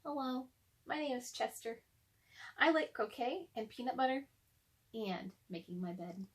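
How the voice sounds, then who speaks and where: A woman as a little boy mouse, tidy and particular, matter-of-fact, unhurried, storybook character. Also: on the high side, expressive. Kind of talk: read-aloud storybook character voice.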